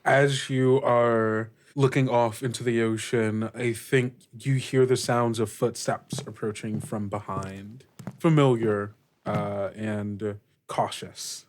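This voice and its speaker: A man's voice: on the low side, steady tone, takes it slowly. A man working as game master, improvising scene narration for a tabletop game in a conversational, hesitant way.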